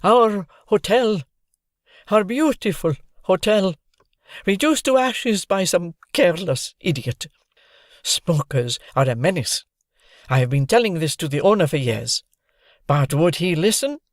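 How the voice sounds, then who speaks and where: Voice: mid-range voice, animated, a little slow, dry recording, studio quality, voice pushed up, natural voice. A narrator voicing a tearful middle-aged hotel concierge who laments dramatically. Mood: lamenting. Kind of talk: audiobook character voice.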